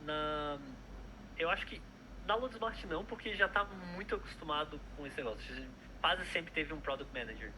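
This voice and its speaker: A man's voice: a little high, normal speed, background noise. A young man, a product manager chatting casually in an interview, hesitant with many fillers and restarts.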